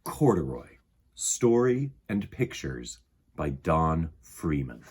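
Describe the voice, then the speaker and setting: Male children's storyteller, dramatic and very animated in pitch, slightly husky tone. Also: slow. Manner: expressive, dramatic. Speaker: storytime host and reader. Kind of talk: chatty intro and animated children's storybook reading.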